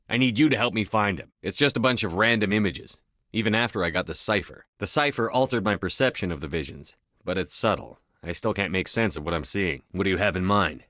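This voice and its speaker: A man's voice: on the low side, fairly bright tone, no room sound, studio quality, natural voice. A man playing a resolute, authoritative starship commander in a video game, speaking in a terse, controlled, commanding way. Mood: forceful, urgent, then steady, determined. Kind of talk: video game dialogue acting.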